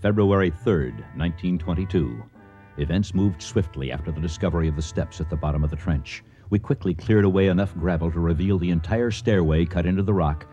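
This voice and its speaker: A man playing a measured, earnest middle-aged archaeologist leading an expedition, in a radio drama. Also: deep voice, mellow, dull audio, natural voice. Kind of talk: radio drama acting and first-person narration.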